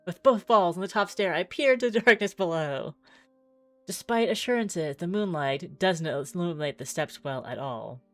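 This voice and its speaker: A woman's voice: fairly low pitch, lively intonation, normal speed, dry recording, soft background music. A woman streaming a visual novel, reading the text aloud theatrically with wry, exclaiming asides.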